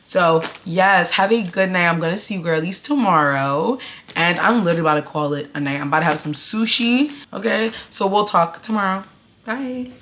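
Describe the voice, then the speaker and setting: A woman's voice: on the low side, moderate pace, fairly bright tone, a little hiss or hum. A woman in her early twenties talking straight to her viewers in a casual vlog, blunt and emphatic. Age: 20s. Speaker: vlogger. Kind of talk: casual vlog talk to camera.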